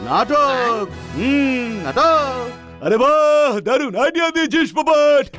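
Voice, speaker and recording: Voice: high voice, expressive, slow pace, fairly bright tone, soft background music, full character voice. An actor voicing a bossy, scheming male cartoon animal, eager and conspiratorial. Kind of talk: exaggerated cartoon dubbing.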